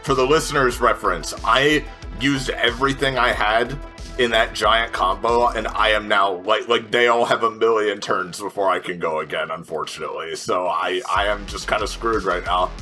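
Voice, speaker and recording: A man chatting casually as a tabletop game player, thinking aloud through his choices in a halting way. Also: lowish voice, bright, forceful tone. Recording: music playing behind the voice. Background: music.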